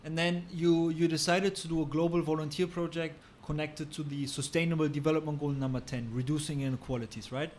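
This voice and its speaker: A man's voice: a little high, fairly even intonation, a little fast, few pauses, a little mellow, a little hiss or hum. A man hosting a podcast, introducing and interviewing a guest. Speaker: podcast host.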